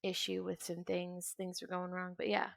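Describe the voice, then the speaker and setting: A woman's voice: on the low side, monotone, normal speed, gravelly, fairly bright tone, no echo, clean studio sound. A woman in her twenties chatting casually and ramblingly as she streams a video game, sometimes trailing off into mutters to herself. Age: 20s. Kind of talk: casual chat while playing a video game.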